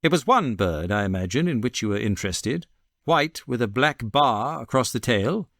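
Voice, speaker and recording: A knowing British gentleman detective, acted by a male reader in a classic audiobook. He speaks smoothly and with assurance, his pitch moving freely, recorded dry and close. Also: expressive, normal speed, no echo. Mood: cheery, teasing.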